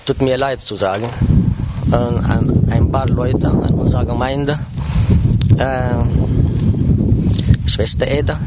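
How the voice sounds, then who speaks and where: A man whose first language is Arabic, speaking to his church congregation in a halting personal testimony, with many pauses and fillers. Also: medium pitch, very noisy. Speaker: church member addressing the congregation. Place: church service.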